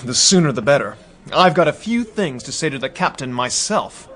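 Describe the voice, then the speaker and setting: An actor playing an eager, impulsive young man in a radio drama, stammering, excitable and blunt. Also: medium pitch, normal speed. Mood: insistent. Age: young adult.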